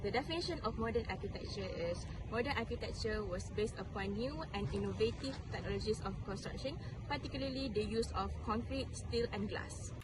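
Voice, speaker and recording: A woman's voice: fairly high pitch, brisk, hardly any pauses, heavy background noise. A young woman, a student presenter, reading scripted documentary narration.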